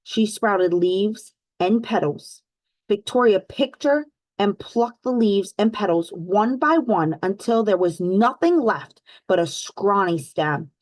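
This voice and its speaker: Lady doing a kids read-aloud, expressive, mid pitch, close dry recording. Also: dry recording. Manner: expressive, deliberate, addresses listeners as friends. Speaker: read-aloud host for children. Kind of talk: storybook read-aloud with questions to young listeners.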